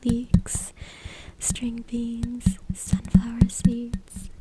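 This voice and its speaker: A woman reads aloud in a breathy, unvoiced whisper in ASMR style, close to the microphone, with brief informal asides. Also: fairly high pitch, completely flat delivery, drawn-out words. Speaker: ASMR creator. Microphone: close USB microphone. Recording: loud background noise, tapping and clicking. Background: tapping, scratching and clicks.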